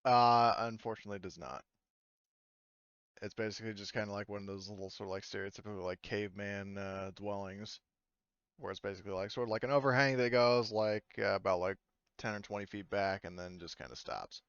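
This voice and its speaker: Smooth lowish-voiced man, dry muffled audio. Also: steady tone, brisk, a bit bright, no room sound. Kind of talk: casual tabletop game narration.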